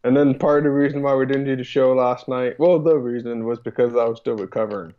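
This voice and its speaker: A man's voice: medium pitch, steady tone, quick pace. Laid-back man on a dull mic. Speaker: podcast co-host. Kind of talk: casual banter.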